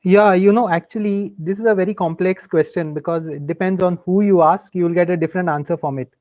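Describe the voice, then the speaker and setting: A man's voice: high-pitched, steady tone, a little fast, darkish tone, no room sound. A man, a guest on a panel, reflective and rambling, with lots of "you know" fillers. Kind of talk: casual panel talk. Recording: dull, dry remote audio.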